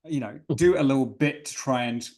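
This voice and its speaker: A man with a mid-pitched voice plays in a tabletop game. He talks casually, as if among fellow players. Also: fairly quick, darkish tone.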